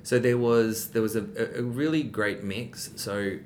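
A man's voice: unhurried, a little mellow. A young adult man, a guest in a casual interview, talking in a rambling way with many restarts and fillers. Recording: rumbling background noise.

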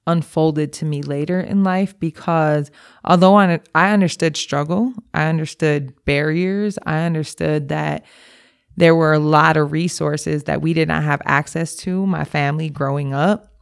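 A woman's voice: deep voice, gravelly, smooth. A woman from Milwaukee, an executive director, answering interview questions in a candid, emphatic, slangy way. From Milwaukee, USA.

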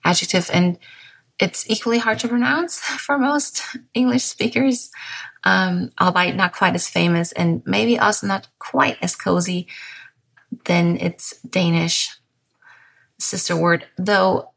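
A woman in her thirties from southern Germany hosts a calm podcast monologue in English, with a German accent. Her voice sits a little low, with a gravelly fry at the ends of phrases. She speaks softly and unhurriedly, with small restarts. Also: slow pace, rough recording. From Bavaria, southern Germany. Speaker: podcast host.

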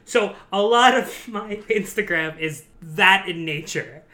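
A young man with a high, somewhat shrill voice tells stories in an animated, self-mocking way and laughs easily. His tone is bright and sharp. He sounds like a relaxed guest in a casual podcast chat. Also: unhurried.

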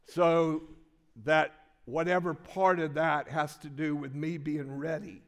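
An older male pastor preaching in a church sanctuary, his slightly high voice ringing with a long reverb. He tells stories in a folksy, conversational way, stumbling and restarting often. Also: little pitch movement, slow. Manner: folksy storytelling with many restarts. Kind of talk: sermon with personal story.

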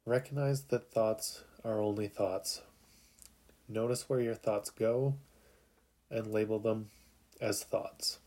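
A man narrating a guided sleep meditation in a calm, soothing, unhurried way with long pauses. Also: lowish voice.

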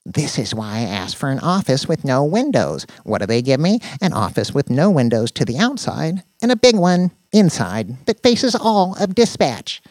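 A man's voice: fairly high pitch, very animated, normal speed, few pauses, darkish tone, no echo, voice pushed up, rough recording. A man voicing a lanky, nerdy male tech deputy in an audiobook, with a precise, anxious, sardonic manner. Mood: exasperated. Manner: sarcastic, precise, exasperated.

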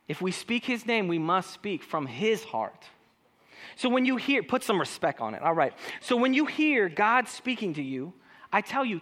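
High-voiced, bright man preaching a sermon. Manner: animated preaching with rhetorical questions to the congregation. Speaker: pastor.

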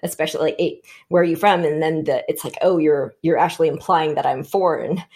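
A woman's voice: sits low, fairly even intonation, a little fast, a little mellow, slightly rough. A woman born in Vietnam, speaking as a panel guest, reflecting aloud and restarting her sentences as she thinks. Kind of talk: conversational panel discussion.

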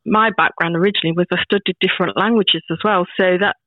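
A woman in her fifties, a proud grandmother, chatting as a podcast guest in a warm, rambling way with many restarts. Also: fairly low pitch, fairly even intonation, fast talker, no echo. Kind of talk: casual chat. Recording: tinny phone-like line, dry.

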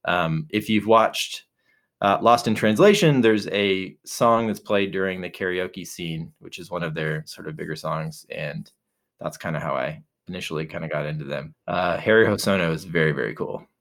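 A man co-hosting a podcast, chatting casually in a relaxed, rambling way with many fillers and restarts. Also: low voice, normal speed. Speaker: podcast co-host. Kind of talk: casual chat.

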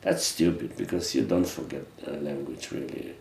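A middle-aged man from Poland with a Polish accent, chatting as an interview guest in a halting, reflective way with frequent restarts. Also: a bass voice, gravelly, a little mellow, roomy sound. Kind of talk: casual interview chat.

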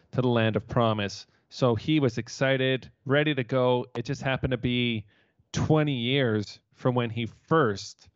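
A man's voice: medium pitch, no room sound. A man co-hosting a podcast in casual conversation over a remote computer call. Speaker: podcast co-host. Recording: slightly dull computer-call audio.

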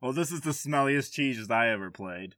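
A man's voice: medium pitch, animated, moderate pace, a bit bright, no echo, clean studio sound. A man hosting a podcast in casual, jokey conversation, swearing freely. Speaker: podcast host. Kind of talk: casual chat.

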